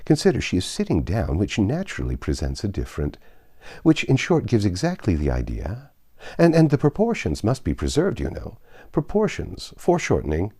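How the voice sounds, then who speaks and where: A man reading a storybook as an effusive, gallant young gentleman who repeats himself in eager praise. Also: fairly low pitch, huge pitch swings, moderate pace, slightly rough, full character voice. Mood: flustered, insistent.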